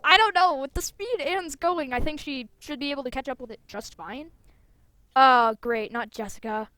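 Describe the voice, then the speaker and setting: A woman's voice: high voice, moderate pace, pushed and bright, no echo. A woman hosting a gameplay video with casual, chatty, playful commentary full of exclamations. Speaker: gaming video host. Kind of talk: casual gameplay commentary with read-out game dialogue.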